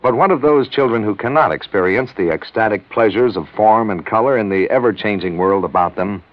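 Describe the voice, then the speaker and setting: A male announcer reading a scripted public service message in an earnest, measured way. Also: lowish voice, no echo. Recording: dry, dull vintage radio.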